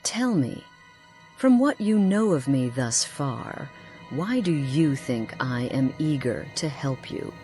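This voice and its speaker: Low-voiced actress as a mysterious, courteous lonely woman. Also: animated, warm tone, faint music behind, exaggerated voice. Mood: thoughtful, earnest. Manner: formal, measured, eloquent. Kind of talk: scripted fantasy game dialogue.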